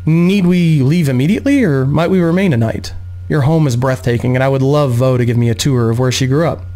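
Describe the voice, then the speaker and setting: A man's voice: mid-range voice, fairly quick, dark tone, lightly acted. A man voicing a polite, charming male bard suitor, courteous and admiring, in a fantasy audiobook.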